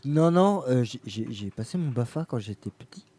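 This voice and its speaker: A man's voice: mid-range voice, moderate pace. A man joking with the host on a live radio show and telling a playful anecdote. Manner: playful, lively storyteller. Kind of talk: joking studio banter.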